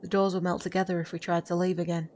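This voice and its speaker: A woman playing a composed witch in an audio drama, with a measured, formal way of talking. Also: fairly low pitch, flat delivery, lightly acted. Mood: grave, steady. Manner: measured, formal, avoids contractions. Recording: faint background noise and ambience.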